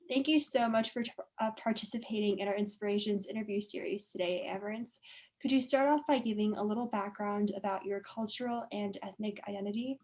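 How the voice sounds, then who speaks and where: A woman's voice: medium pitch, a little mellow, poor audio. A woman interviewer delivering a prepared introduction and questions to her guest.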